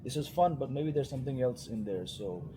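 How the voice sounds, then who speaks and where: A man's voice: mid-range voice, expressive, brisk, poor audio. A man with an Indian accent, born and raised in India, a cricket journalist chatting as a guest in a casual interview, wry and full of restarts and fillers.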